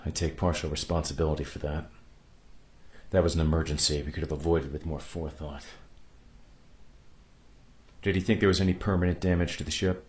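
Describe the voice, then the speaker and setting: A deep-voiced, weary spaceship captain. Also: male voice, steady tone, brisk, pauses often. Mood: grave, troubled. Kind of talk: radio play acting.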